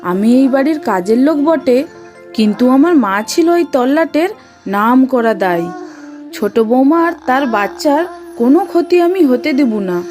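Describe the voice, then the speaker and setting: A woman's voice: high voice, animated, unhurried, a little mellow, soft background music. A woman playing a bold, theatrical village housemaid and midwife in a cartoon horror story. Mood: determined, forceful.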